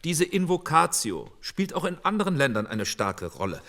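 An actor playing a formal, earnest, conciliatory committee politician of 1948 in a radio docudrama. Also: male voice, a little high, very animated, moderate pace, fairly bright tone. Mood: firm, conciliatory. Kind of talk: German radio docudrama acting.

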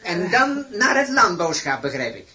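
A man's voice: high-pitched, sing-song, normal speed, pushed and bright, full character voice. A man playing a gullible, stubborn rich farmer in a radio play, plain-spoken, earnest and grumbling. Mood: earnest, wary.

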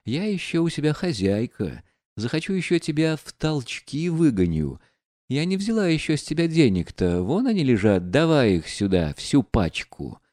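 A man's voice: fairly high pitch, sing-song, normal speed, darkish tone, no room sound, clean studio sound, voice pushed up. A man reading aloud and voicing a proud, reckless young woman who speaks in a bitter, mocking, defiant way.

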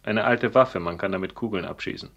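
An actor playing a cold, persuasive schemer in a German radio play, speaking with controlled, deliberate insistence. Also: male voice, on the low side, fairly bright tone, muffled recording. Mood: coolly insistent. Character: cold, scheming, persuasive man planning a murder.

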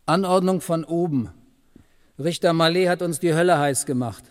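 An actor playing a cheeky, eager junior detective in a German crime radio play, with a jaunty, wry way of reporting. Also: male voice, fairly high pitch, normal speed, close to an everyday voice. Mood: jaunty, teasing. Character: cheeky, eager male police detective, the chief's subordinate.

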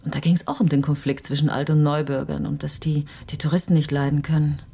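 Over clear background noise, an earnest, reserved woman in a radio drama. Her voice sits fairly low, and she speaks tersely, subdued and troubled. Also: flat delivery, fast talker, warm tone. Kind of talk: naturalistic radio drama acting.

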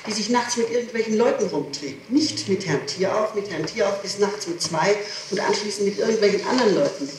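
A middle-aged woman answering questions in an interrogation, speaking haltingly, repeating herself and protesting. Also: medium pitch. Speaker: suspect under interrogation. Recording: echoey, distant, noisy archival 1960s tape.

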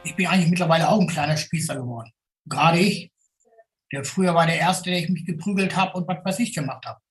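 A man's voice: fairly high pitch, quick pace, low-quality recording. A man talking casually and colloquially about his own experience. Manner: colloquial, plain-spoken. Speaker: formerly homeless city tour guide. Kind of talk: casual spoken testimony.

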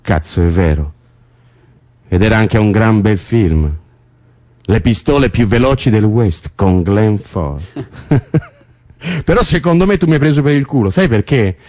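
A man's voice: fairly low pitch, lively intonation, a little slow, pauses often, darkish tone. An actor playing a male political prisoner, teasing, foul-mouthed and tender, in naturalistic stage drama with colloquial, repetitive, insistent speech. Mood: playful. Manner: colloquial, insistent, repeats words in bursts.

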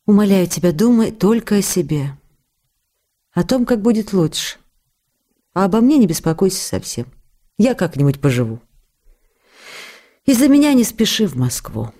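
Mellow-voiced loving, anxious wife. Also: female voice, medium pitch, sing-song, moderate pace, pauses often. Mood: tender, pleading. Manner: intimate, emotional, pleading. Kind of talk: radio drama reading of personal letters. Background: faint music.

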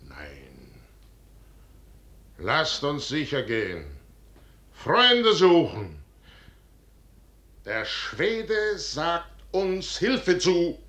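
A man's voice: a little high, wildly swooping pitch, very slow, pauses often, fairly bright tone, full character voice. A man acting a proud, betrayed older general in declamatory classical verse for a 1950s radio drama. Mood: grave, resolute. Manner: declamatory, theatrical. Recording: echoey, noisy 1950s radio recording.

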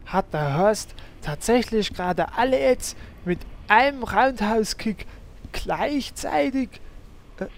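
A man's voice: sits high, lively intonation, takes it slowly, vocal fry, full character voice. A man playing a nervous, flustered game hero in a parody audio drama. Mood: stunned.

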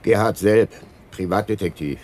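An actor playing a dogged, wry private detective talking in a dry, matter-of-fact way in a crime radio drama. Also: male voice, lowish voice, normal speed, a little mellow, close to an everyday voice. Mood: brusque, assured. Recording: noisy background ambience.

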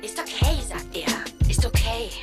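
A woman's voice: a little high, sing-song, a little slow. A woman giving a half-sung spoken performance. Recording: loud music over the voice.

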